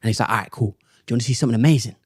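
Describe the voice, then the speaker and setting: A young man and comedian, chatting casually as a podcast guest and telling animated stories in which he acts out other people's lines. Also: medium pitch, very fast, breathy edge, no echo, clean studio sound. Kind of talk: casual chat, storytelling.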